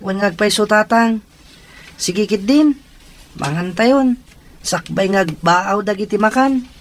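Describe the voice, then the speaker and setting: An actor with an Ilocano accent playing a dutiful, protective young elder brother in a radio drama. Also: male voice, high voice, slow. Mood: respectful.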